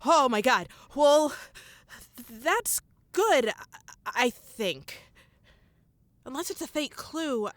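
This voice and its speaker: A woman's voice: high-pitched, animated, slow pace, pauses often, fairly bright tone, no room sound, full character voice. An actress playing an earnest, anxious woman who runs a small radio station in a comedic audio drama, speaking in a hesitant, flustered way. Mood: anxious relief.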